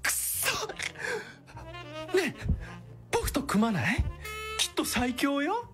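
A woman's voice: sits high, sing-song, slow, music in the background, heavily acted. An actress playing a sadistic, taunting female villain in a radio drama, with a sneering, theatrical delivery. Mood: coaxing. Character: sadistic, taunting female villain who calls herself boku.

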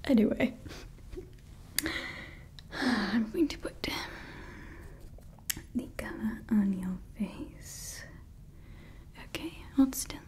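A woman's voice: a little high, animated, drawn-out words, long pauses, darkish tone. A young woman playing a haughty female evil scientist in an ASMR roleplay, whispering close to the mic, scolding her staff and fussing over her creation. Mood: soft, soothing. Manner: mostly whispered, scolding and fussy. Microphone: close sensitive ASMR mic.

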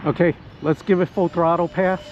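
A man giving casual, excitable live commentary outdoors to viewers as he pilots a model plane. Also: sits high, normal speed. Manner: excitable, whooping, talking to viewers while piloting. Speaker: hobbyist RC pilot and vlogger. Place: outdoors at a flying spot in wind and rain. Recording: muffled outdoor audio with background noise.

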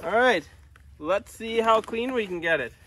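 A man in his forties hosting a casual hobby vlog, talking viewers through his work in a relaxed, chatty way from a sunroom at home. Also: high-pitched, a little slow, noisy background. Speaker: vlog host. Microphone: camera at a distance.

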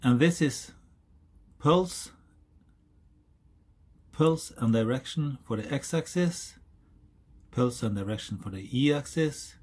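A man in his forties from Norway, speaking English with a Norwegian accent, explaining a hobby project in a halting, self-correcting way. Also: mid-range voice, lively intonation, a little mellow, breathy edge. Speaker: hobbyist YouTuber. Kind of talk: casual DIY explainer. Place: outdoors on a hilltop, then indoors at a workbench.

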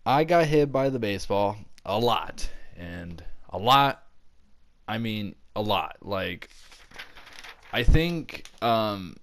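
A man's voice: lowish voice, animated, slow pace, fairly bright tone. A young man hosting a casual storytelling vlog, rambling and often interrupting himself mid-thought. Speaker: video channel host.

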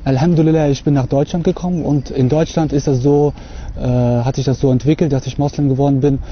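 A young man from Russia talking to camera outdoors on a lawn, earnest and hesitant, filling pauses often. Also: mid-range voice, steady tone, highs cut off, slight background noise. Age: young adult. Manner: hesitant, many fillers, earnest. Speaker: convert giving a testimony. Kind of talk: unscripted talk to camera.

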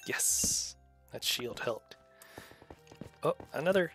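A woman's voice: deep voice, animated, slow pace, over loud music. A woman hosting a kids' gaming video, giving casual, encouraging and exclamatory commentary as they play. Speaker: gaming video host playing alongside a child. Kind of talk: casual gameplay commentary for kids.

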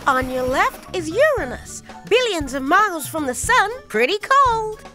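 Very high, cheery cartoon meteor. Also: female voice, sing-song, measured pace, sharp tone, faint music behind, full character voice. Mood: cheery, presenting. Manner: theatrical, presentational tour-guide delivery. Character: small, cheery female meteor tour guide. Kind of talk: children's educational cartoon acting.

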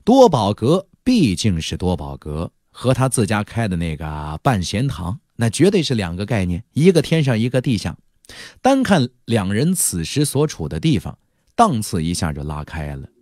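A man's voice: lowish voice, huge pitch swings, moderate pace, mellow, no room sound, clean studio sound. A man narrating an audiobook as a dramatic, colloquial storyteller, with playful, wry asides.

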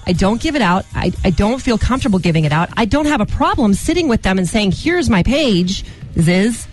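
American woman radio host chatting casually, emphatic, medium pitch, faint music behind. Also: sing-song, fairly quick. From Colorado, United States. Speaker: radio morning show co-host. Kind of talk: casual on-air banter.

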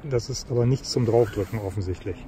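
A man's voice: on the low side, quick pace. A man in his forties chatting casually through a travel vlog, explaining things as he goes and thinking aloud. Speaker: vlogger. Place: outdoors by a car park and in a car. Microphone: phone camera at arm's length. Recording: loud outdoor noise.

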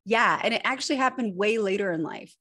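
An American woman in her thirties with a mid-pitched voice talks as a guest on a video-call interview. She tells personal stories in a candid, expressive, conversational way. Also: a little fast. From Northern California, United States. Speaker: guest, personal branding image expert. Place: indoor room at home. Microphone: video call microphone.

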